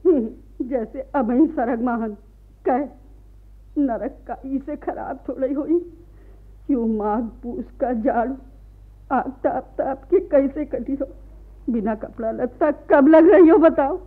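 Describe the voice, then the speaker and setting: An actress in a radio play voicing a fiery, bitter village wife who scolds and complains in a rural Awadhi North Indian accent. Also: female voice, high-pitched, slow pace. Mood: bitter, scornful. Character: fiery, bitter peasant wife, sharp-tongued, fed-up. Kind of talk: Hindi radio play acting. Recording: muffled lo-fi radio archive recording.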